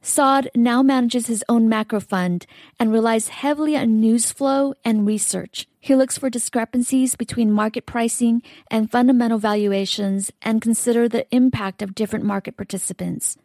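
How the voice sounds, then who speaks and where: A woman's voice: a little high, steady tone, moderate pace, a little mellow, no room sound. A woman co-hosting a podcast, reading a scripted introduction addressed directly to listeners. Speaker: podcast co-host.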